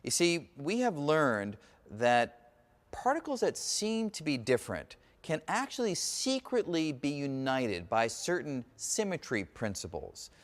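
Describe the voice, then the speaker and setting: A man giving an explanatory science lecture in halting, emphatic phrases, as a lecturer would. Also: a little high, wildly swooping pitch. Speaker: physics lecturer. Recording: echoey hall reverb.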